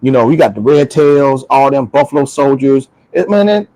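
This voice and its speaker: A man's voice: mid-range voice, lively intonation, normal speed, dark tone, rough recording. A man talking casually and with strong opinions, emphatic and repeating phrases for stress. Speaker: talk show speaker.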